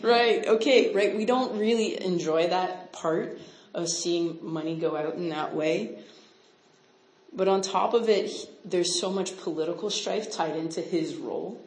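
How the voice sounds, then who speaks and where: A woman's voice: on the low side, unhurried, darkish tone. A woman from Canada, a missionary speaker, teaching a casual Bible lesson. She quizzes her audience and talks in a chatty way, with many "like" and "right?" asides. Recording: roomy echo.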